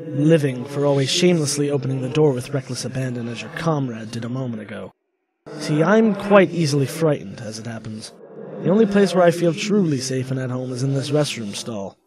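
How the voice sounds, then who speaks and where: A man's voice: medium pitch, brisk, crowd noise, natural voice. A man voicing a genteel, skittish ghost in a horror game fan dub, speaking in a refined, fussy, wordy way. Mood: fussy, courteous.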